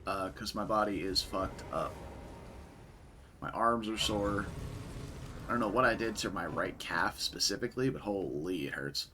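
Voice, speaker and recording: Young man, raspy voice, bright tone, laid-back streamer chat, faint hiss behind. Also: sits low, moderate pace, long pauses. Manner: relaxed, chatty, answers chat. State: raspy voice after a concert. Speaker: game streamer. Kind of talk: casual live-stream commentary.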